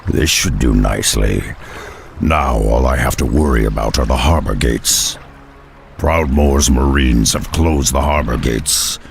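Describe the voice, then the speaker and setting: An actor voicing a gruff, stoic half-ogre warrior with a gravelly voice in a video game, speaking bluntly and solemnly. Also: male voice, extremely low pitch, a little slow, over music, heavily acted. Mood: determined. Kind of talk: video game cinematic acting.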